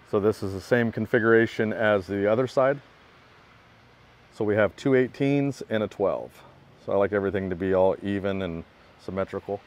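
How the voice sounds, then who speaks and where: Lower-voiced man touring a work truck outdoors. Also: darkish tone, a little hiss or hum. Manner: plainspoken, matter-of-fact, pointing things out. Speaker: equipment builder giving a walkaround. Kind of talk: casual product walkthrough. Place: outdoors by a truck in a parking lot.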